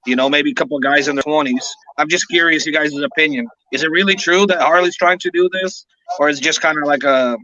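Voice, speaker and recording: Bright talkative man on lo-fi audio. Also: on the high side, fast talker. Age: 30s. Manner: curious, talkative, loose phrasing. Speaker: co-host. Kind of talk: casual chat. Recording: dull lo-fi audio.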